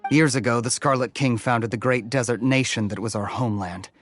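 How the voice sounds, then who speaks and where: A man's voice: mid-range voice, steady tone, brisk, dry recording, natural voice. A man voicing a zealous, scornful desert mercenary in an anime game, sneering and preachy, with music behind. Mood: devout, bitter. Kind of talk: English anime game voice acting.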